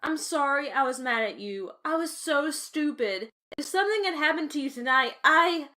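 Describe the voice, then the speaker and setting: A woman's voice: high-pitched, expressive, moderate pace, pushed and bright. A woman playing a frightened, emotional survivor in a zombie horror comic dub. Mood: remorseful.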